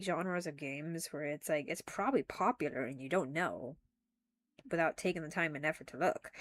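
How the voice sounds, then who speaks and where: A young woman streaming live, talking casually and rambling with frequent restarts and giggles, a little congested. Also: on the low side.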